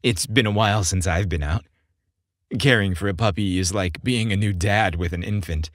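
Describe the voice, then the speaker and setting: A man voicing a sweet-natured, easygoing athletic young man in an audiobook, relaxed and agreeable. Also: low-pitched, normal speed, no room sound, clean studio sound, lightly acted. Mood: amiable. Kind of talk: audiobook character dialogue.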